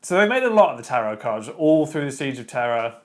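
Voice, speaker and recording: A man's voice: medium pitch, very animated, brisk. A man hosting a casual book review chat, explaining his opinions as he goes. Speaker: show host.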